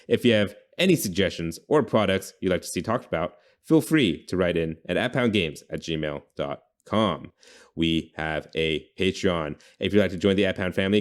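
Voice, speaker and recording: A man hosting a podcast, chatting casually and informally about video games in a rambling way. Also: deep voice. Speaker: podcast host.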